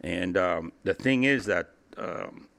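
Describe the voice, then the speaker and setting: A man's voice: low-pitched, expressive, takes it slowly, creaky, a bit bright. A middle-aged man, an interview guest, telling a first-person story casually and acting out the dialogue as he goes. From Cuba. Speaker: interview guest, former drug trafficker.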